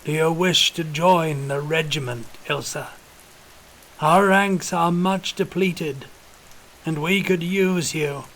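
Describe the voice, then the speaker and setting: Guy doing old zealot officer for an audiobook, wheezy, calculating, higher pitched preachy drawn-out delivery. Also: a bit bright, slight background noise, poor audio. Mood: calculating, inviting. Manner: intoning, preacherly, rustic archaic turns of speech.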